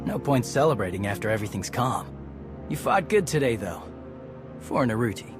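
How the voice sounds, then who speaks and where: A man's voice: mid-range voice, sing-song, a little fast, natural voice. A man playing a grimly stoic space warrior in a video game, with blunt, clipped delivery. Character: terse, hardened male Mandalorian warrior, grimly stoic. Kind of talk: video game cutscene acting. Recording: music behind.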